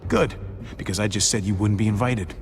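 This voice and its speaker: An actor playing a wry, smooth-talking, protective younger brother trying to survive in a video game drama. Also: male voice, fairly low pitch, a little fast, slight background noise. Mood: wry, needling. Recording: game music under the voice.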